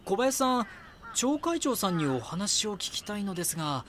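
An actor playing a young magazine reporter in an audio drama, polite, earnest and persistent. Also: male voice, sits high, big pitch swings, moderate pace, fairly bright tone, a little hiss or hum. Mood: polite, probing. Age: young adult. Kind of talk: audio drama acting with inner monologue.

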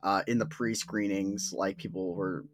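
A man's voice: deep voice, fairly even intonation, moderate pace, background noise. A man co-hosting a podcast, chatting casually about movies with many fillers like "like" and "uh". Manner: rambling, lots of "like" and "uh". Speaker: podcast co-host.

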